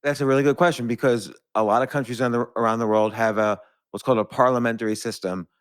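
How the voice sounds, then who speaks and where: A man's voice: medium pitch, fairly even intonation, fast, clean tone. A man hosting a podcast, explaining civics in a casual, rambling, self-deprecating way. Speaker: podcast host.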